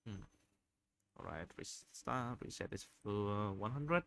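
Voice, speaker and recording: A man giving unscripted video commentary, halting often as he searches for words and repeats phrases. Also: lowish voice, lively intonation, creaky, fairly bright tone, a little hiss or hum. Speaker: video host reviewing game screenshots.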